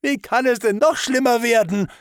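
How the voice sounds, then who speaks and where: A man's voice: extremely high pitch, normal speed, clean tone, no echo, clean studio sound, pitched above the performer's own voice. A man voicing a soaked, weeping young female captive who screams in hysterical defiance. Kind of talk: audiobook reading.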